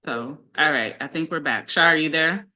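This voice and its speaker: A woman's voice: deep voice, normal speed, sharp tone. A woman hosting a casual live-stream interview over a video call, chatty and talking through connection problems aloud. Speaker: livestream host.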